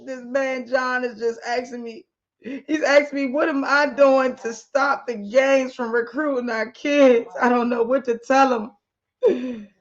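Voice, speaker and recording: Brief: woman playing a tearful, panicked wife, high and pleading, muffled recording. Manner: sobbing, pleading. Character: distraught crying wife, woman, adult. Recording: slightly muffled audio.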